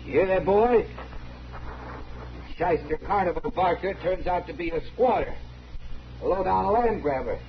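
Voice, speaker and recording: Blustering, bullying western rancher. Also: male voice, sits high, measured pace, pauses often. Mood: sneering. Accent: American. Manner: blustering, accusatory, drawling frontier talk. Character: angry, bullying rancher with a grudge. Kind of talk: 1950s radio western acting. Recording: muffled, hissy old radio recording.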